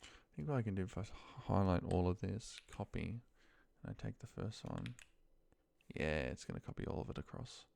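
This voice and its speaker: A man's voice: low-pitched, big pitch swings, moderate pace, vocal fry, darkish tone, no room sound. A man thinking aloud in short muttered fragments as he walks through computer work on a screen recording, in an informal way. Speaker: office worker demonstrating a data-entry task.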